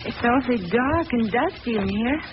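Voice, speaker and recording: A woman's voice: fairly high pitch, animated, normal speed, lightly acted. An actress playing a sleuth's poised, teasing lady companion with an arch, inquisitive manner in 1940s radio drama style. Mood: anxious, curious. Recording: muffled, noisy vintage radio recording.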